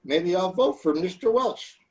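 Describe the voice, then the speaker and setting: A man's voice: high-pitched, lively intonation, unhurried, a little mellow, lo-fi sound. Man acting a lilting, skeptical small-town fussbudget. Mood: dryly skeptical. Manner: dry, hedging, skeptical. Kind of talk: 1940s radio comedy acting.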